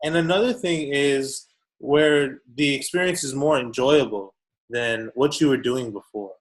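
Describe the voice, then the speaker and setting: A man's voice: mid-range voice, measured pace. A man hosting a tech podcast, introducing a guest and asking questions in a casual, upbeat way with frequent uhs and ums. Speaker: podcast host. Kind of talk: podcast interview.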